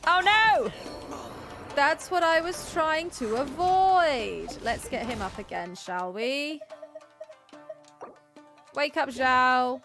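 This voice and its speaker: A woman's voice: sits high, animated, slow pace, pauses often. A woman giving playful, chatty live commentary as she plays a video game. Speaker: game streamer. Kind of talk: casual live gameplay commentary. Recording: game music behind.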